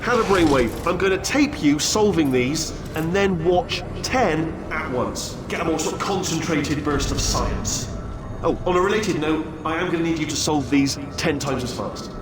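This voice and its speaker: A man's voice: fairly high pitch, animated, moderate pace, lightly acted. An actor playing a nervous, inept male robot core in a video game who rambles, corrects himself, and breaks into panicky yelps. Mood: chatty. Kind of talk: video game cutscene dialogue. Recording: very echoey game audio, distant, with music.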